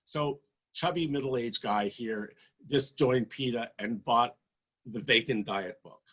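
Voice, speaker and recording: A man's voice: mid-range voice, takes it slowly, husky. A man in his sixties speaking passionately and emphatically as a political candidate at a campaign event. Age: 60s. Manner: passionate, emphatic, rising to forceful peaks.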